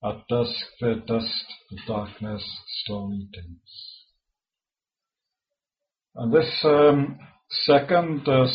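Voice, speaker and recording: Slow welsh guy reading his own poems, mid-pitched voice, halting bits between them, kinda muffled recording. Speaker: poet.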